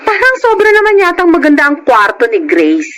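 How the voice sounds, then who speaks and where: An actress playing a jealous, haughty young girlfriend in a Tagalog radio drama, with a sharp, sarcastic manner. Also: high voice, wildly swooping pitch, fairly quick, heavily acted.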